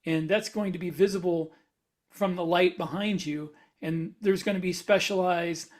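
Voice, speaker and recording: A man speaks as a podcast co-host in a casual technical discussion. He is matter-of-fact and deliberate, pressing his points firmly as if debating. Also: high voice, fairly even intonation. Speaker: podcast co-host and science fiction author.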